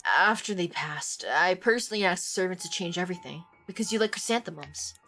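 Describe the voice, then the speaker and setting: A woman voicing a gentle, devoted young man who is the love interest in a romance game, speaking softly and tenderly. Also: fairly low pitch, lively intonation, brisk, faint music behind.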